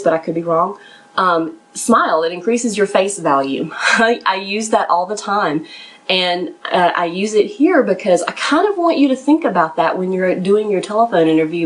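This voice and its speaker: A woman's voice: fairly low pitch, low-quality recording. Conversational woman coaching listeners. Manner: somewhat nervous. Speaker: professional resume writer and interview coach hosting a podcast. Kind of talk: conversational instructional talk.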